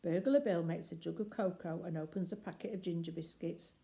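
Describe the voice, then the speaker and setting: An older woman reading a picture book aloud as expressive children's story narration. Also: lowish voice, a little fast, gravelly.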